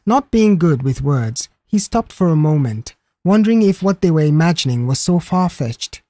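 A storyteller narrating a scripted audio mystery story, expressively, with a husky and mellow voice. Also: medium pitch, big pitch swings, normal speed, dry recording.

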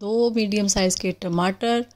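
A woman hosts a home cooking channel and narrates the recipe over the footage. She talks calmly and explains each step in order, like an everyday host. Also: medium pitch, unhurried. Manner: calm step-by-step instructions.

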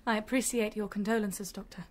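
A woman's voice: mid-range voice, steady tone, a little fast, lightly acted. Actress as composed, well-bred bereaved young lady. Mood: cool, bitter. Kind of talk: BBC radio drama acting.

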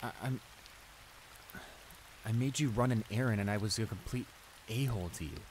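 A man's voice: lowish voice, moderate pace, lightly acted. A man playing a flustered, apologetic young bully in an improvised roleplay audio drama, speaking in a hesitant, stammering way. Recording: steady rain noise behind the voice. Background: rain.

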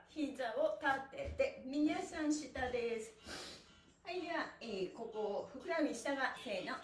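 A middle-aged woman instructs a stretching class in a high, very lively voice. She is cheerful and encouraging, calling out chanted cues as she moves. She is heard from a distance in an echoey room. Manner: cheerful, encouraging, often laughing as talking; exercising while talking. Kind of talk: live exercise instruction with chanted counts. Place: small indoor room with bare wall, on a mat. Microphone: camera a few metres away. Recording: roomy echo, distant mic.

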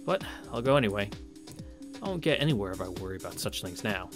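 A man casually reading a visual novel aloud as a streamer, with halting, wry asides. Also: lowish voice, sing-song. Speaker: let's play streamer. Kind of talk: casual read-through of a visual novel with commentary. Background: game music.

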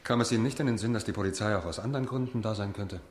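A man's voice: on the low side, racing, natural voice. An actor playing a worldly male defence lawyer in a radio drama, with a dry, sardonic way of talking. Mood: wry. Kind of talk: radio drama dialogue and narration.

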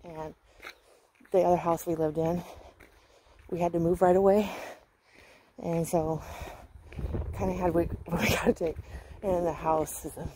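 A woman's voice: fairly low pitch, steady tone, measured pace, pauses often. A middle-aged American woman from Washington State chats casually and folksily to viewers while walking outdoors, holding her phone at arm's length. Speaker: vlogger. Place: outdoors on a rural residential road. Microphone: handheld phone at arm's length. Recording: noisy outdoor phone recording with clicks.

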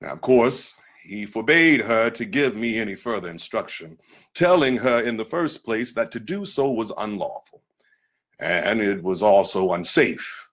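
A man giving a dramatic reading of a nineteenth-century memoir, with measured, oratorical phrasing. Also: on the low side, lively intonation, a bit bright. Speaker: reader of memoir excerpts.

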